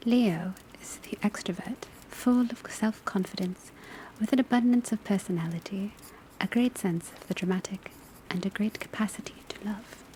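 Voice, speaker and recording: A woman in a scripted ASMR classroom roleplay, speaking in a soft, breathy whisper as a measured, precise witch-school teacher. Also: mid-range voice. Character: calm female witch-school divination professor. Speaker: ASMR performer playing a divination class teacher. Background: crackling fireplace, rain on rooftop, pencil writing.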